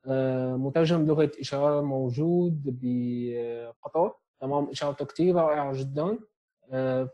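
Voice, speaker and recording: Young male tutor, Syrian accent, mellow breathy tone, patient explainer recorded at home on a headset. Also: mid-range voice, muffled recording. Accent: Syrian Arabic. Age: 20s. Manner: explains step by step, checks in with "okay?" often. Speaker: sign language teacher. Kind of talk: online lesson. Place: small room at home, indoors. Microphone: earphone headset mic on a video call.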